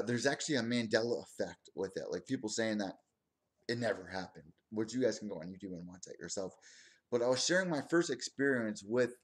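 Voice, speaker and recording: A man in his twenties hosting his own combat sports podcast, talking in a rambling, excitable way with lots of asides. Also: mid-range voice. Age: 20s. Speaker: podcast host. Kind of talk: casual solo stream commentary.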